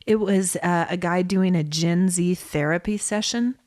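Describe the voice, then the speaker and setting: A woman's voice: lowish voice, measured pace, darkish tone, dry recording, studio quality. A woman co-hosting a podcast in casual, bantering conversation. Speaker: podcast co-host.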